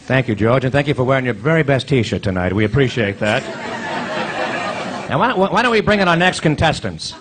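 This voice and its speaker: Actor as a wisecracking, swooping comic quiz host. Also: male voice, medium pitch, big pitch swings, a bit bright, a faint crowd. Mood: wry, teasing. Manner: wry, needling, quick with asides. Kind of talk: comic stage recreation of 1950s radio quiz. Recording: live stage recording, noisy audience.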